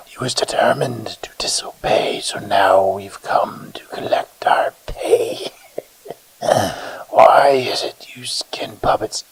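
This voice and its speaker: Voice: mid-range voice, vocal fry, rough recording. An actor voicing a gloating, sadistic demonic entity in a hissing, menacing whisper for a horror audio drama. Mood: vengeful, gloating. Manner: menacing, drawn-out, mocking.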